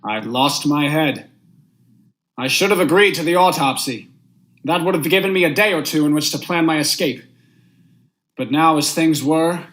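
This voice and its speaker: High-voiced, melodramatic haunted judge played by a young man. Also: fairly bright tone, natural voice. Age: young adult. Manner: melodramatic, stammering when rattled. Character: panicked, guilt-haunted judge, murderer narrating his own downfall. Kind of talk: vintage radio thriller acting and narration.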